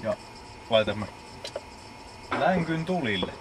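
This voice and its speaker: A man giving a casual, deadpan, step-by-step demonstration as an amateur video maker. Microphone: camcorder microphone. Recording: noisy low-quality old camcorder audio.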